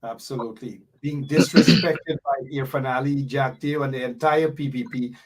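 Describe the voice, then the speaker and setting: A man's voice: medium pitch, fairly even intonation, moderate pace, low-quality recording. A man hosting a talk show, chatting casually and sympathetically with a caller.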